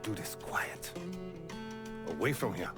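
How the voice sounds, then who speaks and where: An older, fatherly mob boss in a game drama. His Southern US voice is grave and measured yet lively in pitch. Music sits behind him. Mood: stern, commanding. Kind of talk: dramatic video game cutscene acting.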